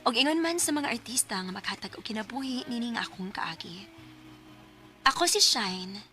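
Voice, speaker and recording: A woman playing a gentle letter sender introducing her life story in a radio drama. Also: on the high side, animated, moderate pace, a little hiss or hum. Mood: polite, gentle. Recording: music clearly behind the voice.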